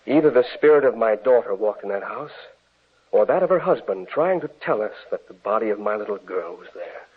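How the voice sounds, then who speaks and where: A man's voice: on the low side, animated, a little fast, breathy edge. An actor playing an earnest, grieving older father in a 1940s-style radio drama. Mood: sorrowful. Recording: tinny, narrow old radio recording.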